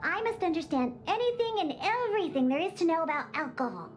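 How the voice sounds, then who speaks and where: A woman's voice: very high-pitched, very animated, fast, faint music behind. An actress playing a feisty young cat-girl bartender in a video game, with a cutesy, bossy, indignant manner. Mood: indignant, determined. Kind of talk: video game character acting.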